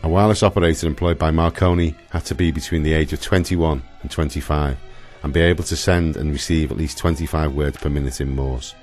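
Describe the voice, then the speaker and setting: Really deep male narrator reading history for a radio play, faint music behind. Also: fairly even intonation, fairly quick, few pauses, darkish tone. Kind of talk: scripted historical documentary narration.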